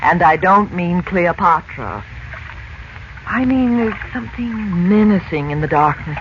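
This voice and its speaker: An anxious mother in a vintage radio serial. Her delivery is apprehensive and expressive, swinging widely in pitch. The sound is muffled, with strong noise behind it. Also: a little slow. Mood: uneasy. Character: anxious, sensitive mother of two grown children. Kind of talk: 1940s radio drama acting. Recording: muffled, noisy old radio broadcast.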